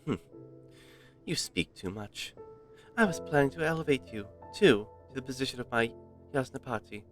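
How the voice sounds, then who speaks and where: A man's voice: mid-range voice, animated, a bit bright, faint music behind, natural voice. A man voicing a haughty, self-important little creature from a game in a posh, formal, royal manner. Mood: haughty, dismissive. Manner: pompous, slow, formal. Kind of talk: reading game dialogue in character.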